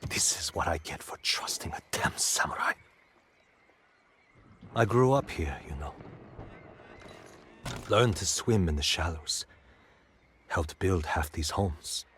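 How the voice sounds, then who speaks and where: An actor playing a bitter, wary raider in a dramatic video game, with a gruff, sardonic, blunt manner. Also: male voice, sits low, lively intonation, slow pace, pauses often, fairly bright tone, a little hiss or hum, exaggerated voice. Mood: angry, bitter.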